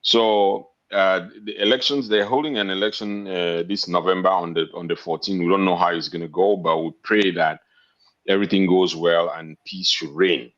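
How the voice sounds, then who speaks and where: A man in his fifties with a Cameroonian accent, talking politely and haltingly as an interview guest. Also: sits low, steady tone, a bit bright. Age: 50s. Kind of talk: casual interview talk. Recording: dull, lo-fi internet call audio.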